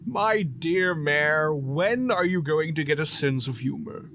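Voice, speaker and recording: Phantom monster villain: slow, high-pitched, sardonic, menacing audio drama delivery. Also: male voice, animated, a bit bright, a little hiss or hum, exaggerated voice. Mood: sardonic menace. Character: sinister theatrical male phantom monster with claws, menacing, mocking.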